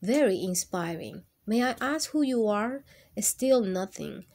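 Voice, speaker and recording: An eager young male dog from a children's storybook , acted out by a woman reading aloud. He is polite and earnest, announcing himself in an unhurried voice. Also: mid-range voice, expressive, darkish tone. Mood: polite, curious.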